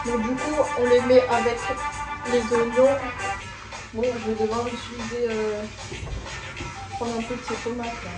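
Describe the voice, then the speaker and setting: Young French woman, casual cooking-vlog chatter, slightly high voice, music underneath. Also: little pitch movement, moderate pace, long pauses, poor audio. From France. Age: 20s. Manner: rambling, chatty, full of fillers. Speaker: guest cook. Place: home kitchen.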